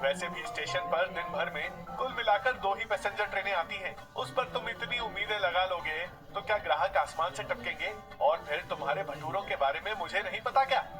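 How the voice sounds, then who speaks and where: A man's voice: sits high, fairly quick, few pauses, recorded from a distance, over music, natural voice, rough recording. A man voicing a sensible tea seller in a comic moral story, teasing and moralizing. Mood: amused teasing.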